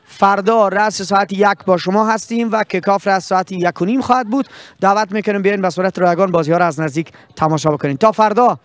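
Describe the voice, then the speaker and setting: A man's voice: high-pitched, no echo. A young man with an Afghan Dari accent, a sports presenter interviewing after a match and signing off with a handheld mic outdoors. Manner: formal, fluent broadcaster delivery. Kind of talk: post-match interview and sign-off. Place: outdoor pitchside sponsor backdrop.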